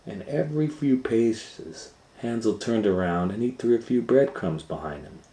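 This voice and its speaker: A man reading a children's story aloud with dramatic delivery, swinging between hushed and loud. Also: mid-range voice, animated, normal speed. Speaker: storytime reader and host. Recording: roomy echo, faint background noise.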